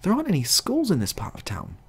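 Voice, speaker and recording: A man's voice: high voice, huge pitch swings, fast, husky, voice pushed up, heavily acted. A man voicing a fussy, polite male substitute teacher in a short story, hesitant and proper in his manner. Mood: puzzled.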